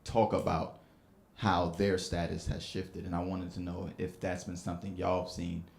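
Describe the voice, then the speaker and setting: A man's voice: low voice, completely flat delivery, moderate pace, vocal fry, a little mellow, a little hiss or hum. A man in the audience asking a panel a question, rambling with many fillers and restarts. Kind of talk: unscripted question from the floor. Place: event hall with audience. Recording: echoey room.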